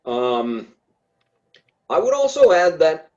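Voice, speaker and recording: A man who stutters talks slowly and haltingly, full of fillers and restarts. His voice has a middle pitch. The sound is dull and lo-fi. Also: sing-song, darkish tone. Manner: hesitant, many fillers and restarts. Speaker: podcast guest. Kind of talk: casual conversation.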